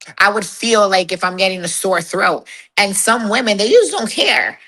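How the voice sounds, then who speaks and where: Raspy-voiced, blunt woman fitness competitor. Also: mid-range voice, moderate pace. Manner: blunt, laughs often, animated. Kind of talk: casual interview chat.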